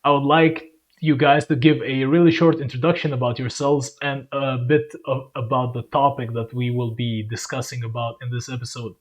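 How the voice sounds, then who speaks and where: A man's voice: mid-range voice, level tone, normal speed. Young man as podcast host; casual, loose, self-correcting delivery. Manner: friendly, rambling, self-correcting.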